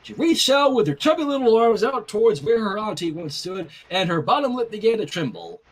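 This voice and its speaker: Male story reader, high husky voice, wry, casual asides. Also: big pitch swings, fairly quick. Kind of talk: fan fiction reading with casual asides.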